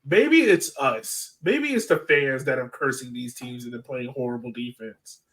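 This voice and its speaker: A man's voice: medium pitch, animated, moderate pace, fairly bright tone, low-quality recording. A man hosting a sports podcast in a casual, chatty style.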